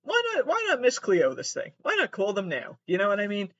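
A man giving casual comedic commentary as he reads messages aloud, halting and sweary, with exasperated asides. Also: high-pitched, huge pitch swings, fairly bright tone, muffled recording.

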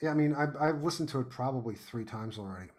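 A man with a medium-pitched voice talks casually as a podcast guest. His manner is enthusiastic and emphatic, full of effusive appreciation. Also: lively intonation, quick pace, darkish tone. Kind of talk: casual conversational review.

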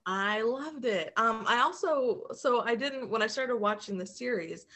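A woman co-hosting a podcast, chatting casually and haltingly as she thinks aloud. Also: on the high side, animated, sharp tone. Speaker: podcast co-host. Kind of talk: casual chat about shows and comics.

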